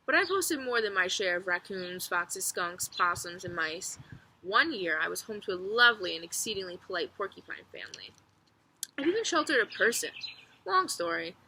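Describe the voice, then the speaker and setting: A woman reading a children's book aloud as narrator, expressively, with clear storytelling phrasing and emphasis. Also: mid-range voice, sharp tone, slight background noise, low-quality recording.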